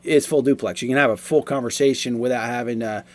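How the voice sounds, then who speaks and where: A man in his forties giving a casual, explanatory product review, talking in a halting, repetitive way. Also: mid-range voice, fairly even intonation, a little mellow.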